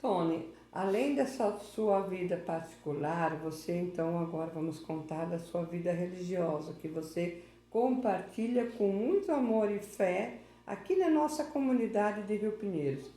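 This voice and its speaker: A woman's voice: on the low side, expressive, a little slow, mellow, reverberant. A woman interviewing a guest informally, prompting him often and repeating his name. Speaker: interviewer, local history host.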